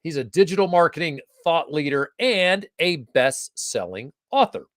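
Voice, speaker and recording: A man's voice: on the high side, expressive, moderate pace, no echo. Guy hosting a livestream, chatty and jokey, voice bounces all over, dry close sound. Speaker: host. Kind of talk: casual livestream interview chat. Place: Atlanta area.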